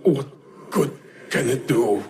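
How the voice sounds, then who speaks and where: An actor playing an embittered, disillusioned traitor in dramatic game dialogue. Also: male voice, medium pitch, expressive, slow, slight background noise. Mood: bitter.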